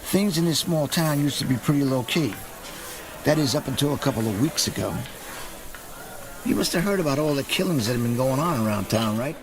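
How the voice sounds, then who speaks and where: A man's voice: medium pitch, animated, fairly quick, close to an everyday voice, rough recording. A man playing a middle-aged small-town detective in an audio drama, talking in a casual, folksy, insinuating way. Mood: casual, probing. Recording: clear background noise and ambience.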